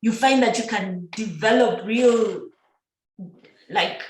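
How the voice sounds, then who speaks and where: A middle-aged woman from Uganda, chatting as a podcast guest, animated and laughing often. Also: mid-range voice, slow pace. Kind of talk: casual conversational interview. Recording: echoey room.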